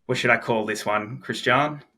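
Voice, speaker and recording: A man with an Australian accent walking through a software demo in a relaxed, chatty way, full of "uh" and "um" fillers. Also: mid-range voice, normal speed. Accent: Australian English. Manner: chatty, frequent "uh" and "um" fillers, repeats words. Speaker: product expert giving a demo. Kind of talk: casual technical demo walkthrough.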